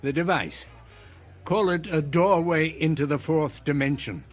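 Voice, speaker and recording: An actor playing a sinister, genteel older man in a radio drama, speaking in measured, savoring, ornate phrases. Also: fairly high pitch, soft background music, close to an everyday voice. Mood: smooth, knowing.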